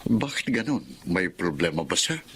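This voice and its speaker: Worried strict dad in a radio drama, lowish voice, lots of up and down, earnest. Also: male voice, very animated, normal speed, fairly bright tone, a little hiss or hum, exaggerated voice. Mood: concerned. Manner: earnest, questioning. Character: worried, stern middle-aged father.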